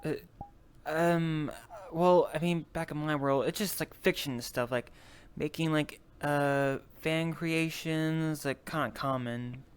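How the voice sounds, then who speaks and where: A person speaking casually and haltingly while role-playing in an online voice chat. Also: mid-range voice, clear, resonant tone. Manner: hesitant, halting explanations. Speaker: role-player in a group meet-up. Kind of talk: casual in-character improvised chat. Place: online voice chat in a virtual world.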